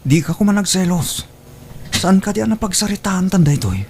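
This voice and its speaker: A man's voice: high-pitched, lively intonation, fairly quick, darkish tone. Scheming young Ilocano suitor on noisy audio, acted by a man. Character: scheming young suitor, smooth-tongued gold digger. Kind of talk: Ilocano audio drama acting.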